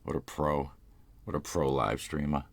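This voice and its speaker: Very deep gravelly Boston man. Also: flat delivery, moderate pace, a little hiss or hum. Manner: rambling, loose, swears casually. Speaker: ASMR channel host. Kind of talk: casual live-stream chat.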